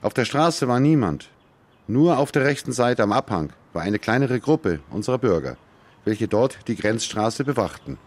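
Medium-pitched man reading testimony. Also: very animated, normal speed. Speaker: reader of testimony. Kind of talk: scripted reading of a first-person testimony.

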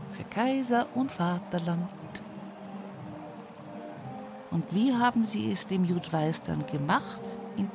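An actress playing an earthy, stubborn, devout widow from the village in an intimate radio monologue, with a husky, breathy voice and an Upper Palatinate Bavarian accent. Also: female voice, on the low side, background noise. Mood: wry reminiscing. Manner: earthy, folksy storytelling at a bedside. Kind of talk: intimate radio drama monologue in rural vernacular.

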